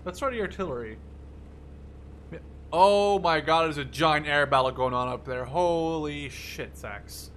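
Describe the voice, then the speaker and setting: A young man giving casual, excitable gameplay commentary, swearing freely as he reacts out loud to the game. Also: on the high side, a little slow, a little hiss or hum. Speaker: gaming video host. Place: small room at home, indoors.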